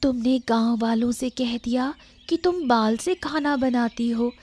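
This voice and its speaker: A woman's voice: fairly high pitch, unhurried, a little mellow. A woman playing a worried young granddaughter in a cartoon story, earnest, doubtful and questioning. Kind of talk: scripted cartoon story acting. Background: music.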